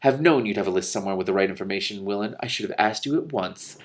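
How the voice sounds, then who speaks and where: A man voicing a decisive, wry king in a storybook, brisk and commanding. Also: lowish voice, pitched below the performer's own voice, lightly acted.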